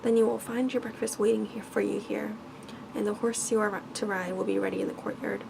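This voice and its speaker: A woman voicing an angry, commanding male beast in a bedtime storybook, with stern, formal speech, reading softly for ASMR. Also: medium pitch, level tone, brisk, vocal fry, noisy background.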